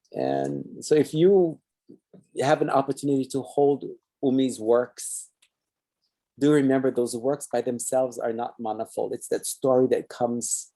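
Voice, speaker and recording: Pidgin-accented hawaiian guy hosting, mellow dark voice, rambly and chatty, reads out the comments. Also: medium pitch, creaky. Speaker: talk show host. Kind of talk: casual live-stream sign-off chat.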